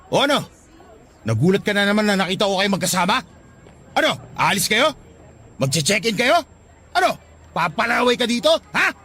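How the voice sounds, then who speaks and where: Raspy, high-strung jealous young Filipino boyfriend. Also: male voice, very animated, normal speed, pauses often, pushed and bright, heavily acted. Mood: jealous, accusing. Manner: confrontational, scornful, insulting. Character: jealous, abusive young boyfriend, hot-tempered and accusing. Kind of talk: Tagalog radio drama acting.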